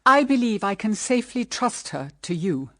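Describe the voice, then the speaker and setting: An actress playing a gentle, wise, dignified older mother in a period radio drama, speaking in an earnest, formal, measured manner. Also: mid-range voice, very animated. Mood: firm, kindly.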